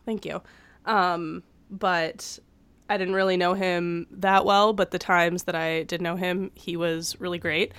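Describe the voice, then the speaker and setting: A woman's voice: on the low side, steady tone, measured pace, a bit bright, clear, resonant tone, dry recording. Chatty young woman with a cold. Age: 20s. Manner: chatty, many fillers. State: getting over a cold. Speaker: podcast co-host. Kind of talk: casual chat. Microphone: studio microphone.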